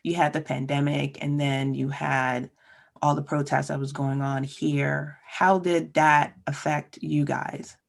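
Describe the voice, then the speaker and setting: A woman interviewer with a very deep, gravelly voice, heavy with vocal fry. She asks short, direct questions. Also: flat delivery, unhurried.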